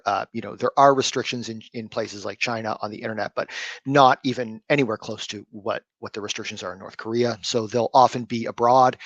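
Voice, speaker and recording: A man talks through his topic on a panel in an easy, conversational manner. His voice sits at a middle pitch, and the sound is dry and close with no room around it. He drops in frequent fillers and restarts as he goes. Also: fairly bright tone, no room sound. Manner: loose and conversational, many fillers. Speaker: threat intelligence researcher, panelist. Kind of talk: casual panel discussion.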